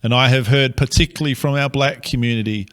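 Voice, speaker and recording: A man with an Australian accent speaks as a pastor addressing his city. His delivery is slow, earnest and measured, with a bright, forceful tone at a middle pitch. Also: steady tone, smooth.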